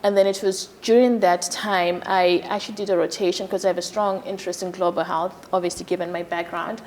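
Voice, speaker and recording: A woman surgeon from Zimbabwe in her thirties, giving a TV interview in a soft, hesitant way with many fillers. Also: fairly low pitch, steady tone, fairly quick. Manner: soft-spoken, many fillers, laughs easily. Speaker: gynecologic surgeon, guest.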